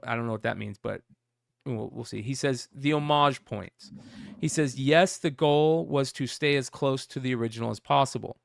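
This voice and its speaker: A man's voice: mid-range voice, gravelly, clean tone, dry recording, studio quality. A man hosting a casual comic news talk show, rambling and reading out a message in his plain voice. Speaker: host.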